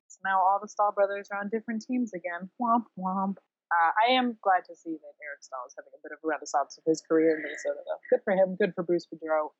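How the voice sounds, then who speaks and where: A woman's voice: on the low side, fast talker. A woman co-hosting a sports podcast, chatting casually with wry, flippant asides. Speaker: podcast co-host. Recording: dull, roomy, lo-fi recording.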